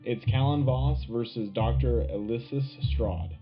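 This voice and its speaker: A man's voice: mid-range voice, measured pace, lightly acted. Man playing a tinny-sounding wartime radio host. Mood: rousing. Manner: dramatic, emphatic announcer delivery. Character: upbeat patriotic wartime radio host of future Earth. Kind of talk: punchy scripted radio news broadcast. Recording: tinny narrow-band radio sound, faint music.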